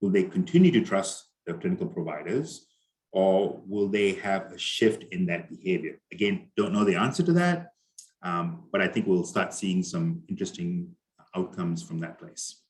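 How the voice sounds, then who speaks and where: A middle-aged man with an Indian accent presents at a conference in a loose, conversational way, full of fillers and restarts. His voice sits a little low. He speaks over a video call. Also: animated, moderate pace, a little mellow.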